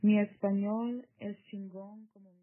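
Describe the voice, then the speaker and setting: A woman reciting a poem slowly, switching between Spanish and English. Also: medium pitch, little pitch movement. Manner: slow, measured poetic recitation mixing Spanish and English. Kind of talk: reading a poem aloud. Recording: tinny phone-like line.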